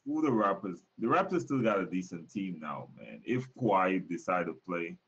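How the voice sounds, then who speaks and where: A man's voice: sits low, big pitch swings, normal speed, a bit bright, slightly rough. A man co-hosting a casual sports chat, laid-back and slangy. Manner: laid-back, slangy, says bro a lot. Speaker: co-host. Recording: muffled lo-fi line.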